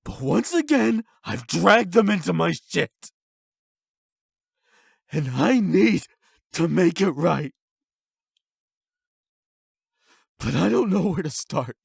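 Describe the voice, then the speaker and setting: A man's voice: high voice, big pitch swings, slow, vocal fry, pauses often, husky, no room sound, studio quality, full character voice. A man playing an angry, hurt young delinquent, defiant and sarcastic, in an emotional anime-style audio drama. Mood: despairing. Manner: sweary, sarcastic, confrontational.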